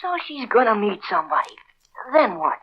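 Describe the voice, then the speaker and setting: A woman's voice: mid-range voice, very animated, moderate pace, slight background noise, natural voice. A woman playing a wary young boy, a loyal but doubting sidekick in a 1940s radio serial, questioning in boyish slang. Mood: doubtful, puzzled. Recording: dull lo-fi old radio recording.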